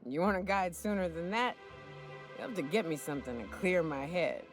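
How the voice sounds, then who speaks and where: Woman playing a hungover frontier hunter, swearing and folksy, swinging lively pitch, faint music. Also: normal speed, lightly acted. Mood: wheeling, dealing. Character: rough, hard-drinking frontier woman hunter guide. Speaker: hunting guide. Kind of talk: video game voice acting. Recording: game audio with faint music.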